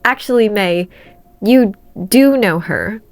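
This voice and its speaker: A woman's voice: mid-range voice, expressive, slow pace, no room sound. A woman voicing an earnest, affectionate teenage girl hero in a story reading, with hesitant phrasing. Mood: nervous. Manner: hesitant, filler-strewn dialogue.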